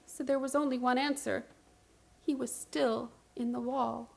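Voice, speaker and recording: A high-pitched actress playing a woman patient in a radio play, haunted and obsessed. She recounts her strange story with theatrical intensity and an urgent, frantic edge. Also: steady tone, measured pace, vocal fry, full character voice. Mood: tense, secretive. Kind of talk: radio play acting, first-person dramatic narration.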